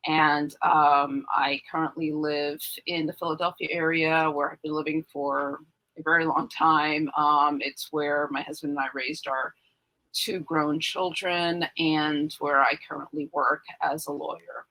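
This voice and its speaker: Low, flat-voiced woman from New York. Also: a little slow, poor audio. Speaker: author guest, lawyer. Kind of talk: panel self-introduction.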